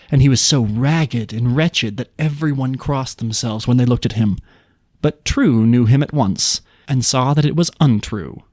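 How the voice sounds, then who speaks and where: A man narrates a folk tale in the manner of a podcast storyteller. His voice sits at a medium pitch, recorded very dry and close. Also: a little mellow, no echo. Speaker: podcast host.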